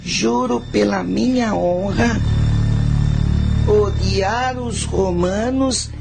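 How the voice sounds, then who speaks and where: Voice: medium pitch, drawn-out words, creaky. A performer playing an earnest ten-year-old boy, a general's son, in a radio drama, speaking solemnly. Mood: solemn vow. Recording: music under the voice.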